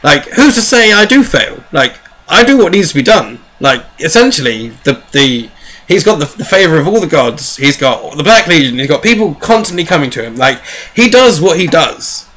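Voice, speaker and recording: A man's voice: fairly high pitch, big pitch swings, moderate pace, a bit bright, poor audio. A man co-hosting a casual fan discussion of sci-fi novels, enthusiastic and rambling, often restarting his sentences. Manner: casual swearing. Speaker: podcast co-host.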